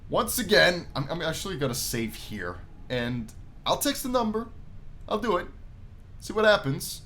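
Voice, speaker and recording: A man's voice: high voice, very animated, normal speed, a bit bright, slight background noise. A man hosting a gaming video, reading a visual novel aloud and commenting casually in a playful, storytelling way.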